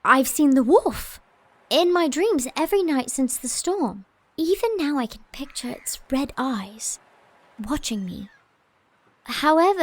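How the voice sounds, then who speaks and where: An actress playing a blunt, lively young Englishwoman in an audio drama, talking in a playful, breathless way. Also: sits high, dry recording.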